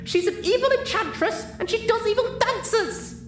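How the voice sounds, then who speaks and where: Super high squeaky girly cartoon pony, over the top sing-song, echoey noisy recording. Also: normal speed, recorded from a distance, faint music behind. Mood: furious. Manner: furious sing-song, shrill, exaggerated. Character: hyper theatrical pink cartoon pony mare, venomous then giddy. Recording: roomy echo, clearly noisy background.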